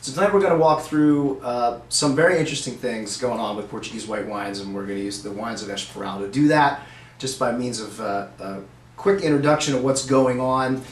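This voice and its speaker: A man's voice: mid-range voice, brisk. A middle-aged man hosting a live webcast, chatty and upbeat with frequent fillers. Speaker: host. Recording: roomy, faint noise.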